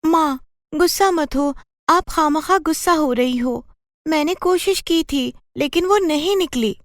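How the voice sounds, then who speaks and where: A woman's voice: high voice, measured pace, no room sound, clean studio sound. A woman playing a dutiful, easily exasperated young girl, a big sister who protests earnestly, in a children's cartoon story. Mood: protesting.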